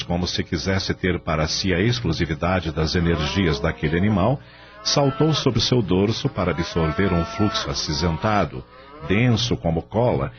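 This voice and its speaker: A man's voice: sits low, muffled recording. A Brazilian man narrating a radio novel in a dramatic scripted style. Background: music.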